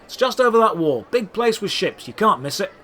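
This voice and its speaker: A man's voice: high voice, very animated, brisk, bright, forceful tone, pitched above the performer's own voice, heavily acted. A man voicing a cheerful, quirky market stallholder with chirpy sales patter in an audio drama.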